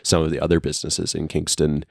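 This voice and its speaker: A man's voice: a bass voice, completely flat delivery, fast, gravelly, a little mellow, no room sound, studio quality. A man co-hosting a podcast in casual chat, laid-back and ribbing, with lots of "like" fillers, recorded in a friend's basement. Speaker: podcast co-host.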